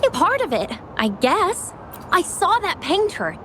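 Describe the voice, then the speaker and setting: An actress playing a frightened young woman witness who stammers and rambles in halting bursts. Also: extremely high pitch, very animated, noisy background, heavily acted. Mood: rambling. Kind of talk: video game voice acting.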